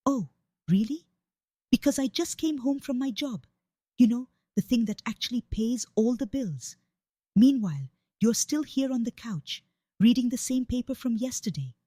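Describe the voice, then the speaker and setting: A woman's voice: on the high side, lively intonation, normal speed, dark tone, no room sound, pitched above the performer's own voice. A woman storyteller voicing a scornful, sarcastic wife who is fed up with her husband. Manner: sarcastic, snapping, cutting.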